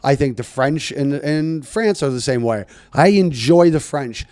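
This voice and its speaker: A man's voice: on the high side, expressive, measured pace, a little mellow, smooth, no echo, studio quality. A man hosting a casual comedy chat, riffing and swearing, rambling with restarts. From Canada. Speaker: podcast host.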